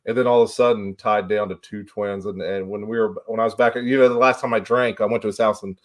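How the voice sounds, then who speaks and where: A man's voice: lowish voice, steady tone, quick pace. A man in his forties hosting a casual chat, telling stories in a plainspoken, folksy way. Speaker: livestream host.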